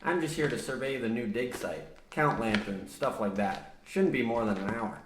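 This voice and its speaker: An echoey recording with faint noise carries a man playing a young, businesslike mine surveyor of medium pitch. He is polite and dry, the matter-of-fact straight man of a radio comedy. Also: normal speed, natural voice. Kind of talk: parody of old radio drama acting. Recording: roomy echo, faint noise.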